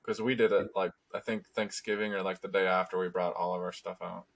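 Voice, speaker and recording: Low-voiced man in his thirties. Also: level tone, brisk, fairly bright tone. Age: 30s. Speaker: podcast co-host. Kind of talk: casual chat.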